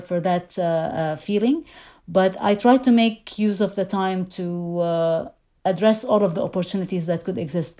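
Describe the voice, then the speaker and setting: A woman's voice: lowish voice, mellow. A middle-aged woman whose first language is Arabic, answering interview questions thoughtfully with frequent fillers and restarts. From Lebanon. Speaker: interview guest, immigrant. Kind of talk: conversational interview.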